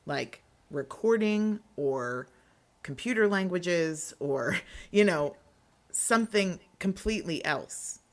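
A woman co-hosting a casual podcast discussion, thoughtful and quick to laugh. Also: on the low side, lively intonation. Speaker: podcast co-host, music teacher.

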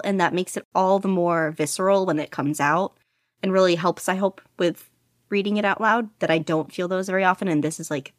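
A woman's voice: lowish voice, no echo, studio quality. A woman guest on a casual podcast interview, talking eagerly and laughing often. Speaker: podcast guest, audiobook reader.

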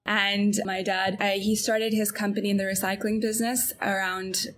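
A woman's voice: medium pitch, moderate pace, slight background noise. Chatty young woman guest laughing often. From Belgium. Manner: relaxed, chatty, laughs often, many fillers. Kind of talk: casual interview chat.

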